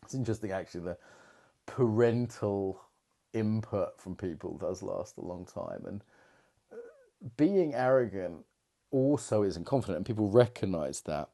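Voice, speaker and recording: A man's voice: lowish voice, lively intonation, normal speed, mellow. A middle-aged man coaching on confidence in a rambling, reflective monologue full of fillers and restarts. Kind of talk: casual monologue to camera.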